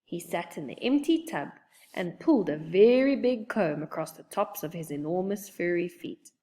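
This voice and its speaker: A woman reading a children's book aloud in an expressive narrating style. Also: on the low side, normal speed. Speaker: storybook reader.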